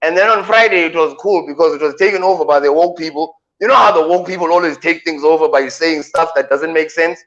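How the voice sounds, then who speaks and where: A South African man with a deep voice, a radio co-host doing playful comic banter with drawn-out punchlines. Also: a bit bright, highs cut off.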